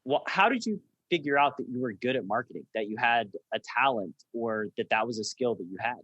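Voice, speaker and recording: A man's voice: medium pitch, expressive, fairly quick, fairly bright tone, no room sound, dull audio. A man hosting a podcast interview, casually and persistently asking why and digging into his guest's past. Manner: probing, keeps asking why. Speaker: podcast host. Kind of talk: conversational interview.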